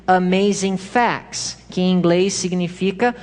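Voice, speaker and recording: A woman's voice: on the low side, takes it slowly, darkish tone. A woman giving a church history lecture at a congress, explaining slides with small hesitations and self-corrections. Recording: long hall reverb.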